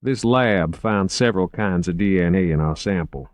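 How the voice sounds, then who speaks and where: A man voicing an urbane, unhurried investigator in an audiobook, genteel and measured with dry wit. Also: sits low, no echo, lightly acted. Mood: matter-of-fact. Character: urbane, unhurried, drily witty male investigator. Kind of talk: audiobook character acting.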